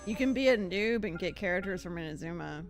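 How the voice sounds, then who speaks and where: A young adult woman streamer chatting casually over gameplay, informal and opinionated, thinking out loud. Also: fairly low pitch, expressive, brisk, soft background music. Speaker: game streamer. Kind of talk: casual live gameplay chat.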